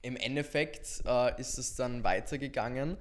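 A man's voice: mid-range voice, normal speed, slight background noise. Young Austrian man, casual podcast guest. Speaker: podcast guest, social media creator. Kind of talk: casual interview conversation.

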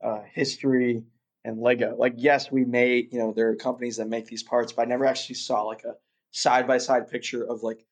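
A man's voice: mid-range voice, flat delivery, a little fast. A young man from Russia, a guest chatting casually in an interview, rambling with lots of fillers and restarts.

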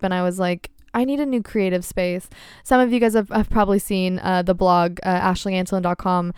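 A woman in her twenties hosting her own podcast, chatting casually on her own with an excitable, candid manner, laughing often and swearing freely. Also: medium pitch, smooth, dry recording, studio quality. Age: 20s. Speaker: podcast host. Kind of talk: casual solo chat.

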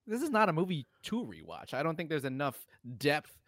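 A man's voice: a little high, wildly swooping pitch, brisk, a bit bright, dry recording, clean studio sound. A man co-hosting a podcast, chatting casually about film in a blunt way, swearing freely with exasperated emphasis. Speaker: podcast co-host.

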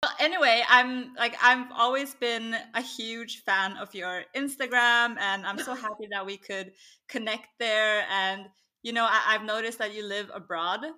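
A woman hosting a podcast, talking softly and hesitantly, with many fillers, in a casual interview. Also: a little high, fairly even intonation, unhurried. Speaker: podcast host.

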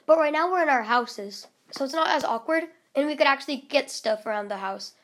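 A woman's voice: high-pitched, lively intonation, fairly quick, a bit bright. A young woman hosting a casual truth-or-dare video from home with friends, giggly and rambling. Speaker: vlog host.

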